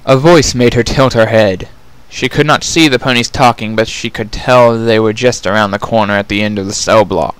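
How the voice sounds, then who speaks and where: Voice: medium pitch, fairly even intonation, normal speed, hardly any pauses, clean tone, low-quality recording. A narrator giving a scripted dramatic reading of a fan fiction story.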